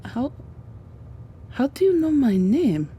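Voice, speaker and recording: A woman voicing a weary, frightened imprisoned mother with breathy, faltering speech in an ASMR roleplay drama. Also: on the low side, unhurried, mellow, muffled recording, a little hiss or hum, lightly acted. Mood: alarmed, wary.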